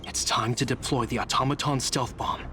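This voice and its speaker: An actor playing a disciplined, courteous guard captain in a video game, speaking seriously and in good order. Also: male voice, medium pitch, steady tone, fairly quick, over music, close to an everyday voice. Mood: serious, briefing. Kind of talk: scripted video game dialogue.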